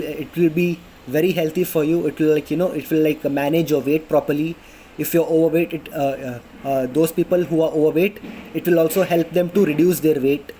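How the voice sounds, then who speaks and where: A man's voice: on the high side, warm tone, slight background noise. A man in his twenties with an Indian accent, chatting casually about health tips in a vlog, full of fillers and restarts. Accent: Indian English. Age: 20s. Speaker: vlogger. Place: apartment in a city under flight paths. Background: planes passing overhead.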